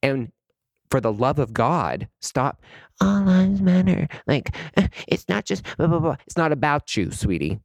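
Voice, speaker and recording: A man's voice: fairly high pitch, sing-song, unhurried, vocal fry, darkish tone, no room sound. A young man giving a sassy, emphatic rant to the camera, calling people honey and sweetie. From American South. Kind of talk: impassioned direct-to-camera rant.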